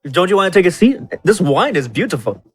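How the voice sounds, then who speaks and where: Courteous, higher-voiced man roleplaying a bard. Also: sing-song, husky, faint music behind. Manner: courteous, wordy, halting mock-formal phrasing. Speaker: tabletop player speaking as a bard character. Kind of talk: improvised in-character roleplay.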